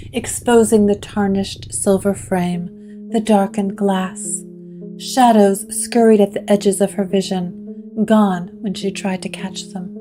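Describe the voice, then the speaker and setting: A woman narrating a scripted gothic horror story in a dramatic, deliberate way, with plucked string music behind her. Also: mid-range voice.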